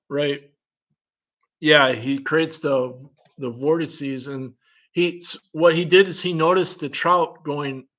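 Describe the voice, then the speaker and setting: A man talking in a loose, rambling, casual way, his voice sitting a little higher than most men's. He thinks aloud, reacts with curious little questions and often breaks into a chuckle between remarks. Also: bright, forceful tone. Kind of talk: casual chat.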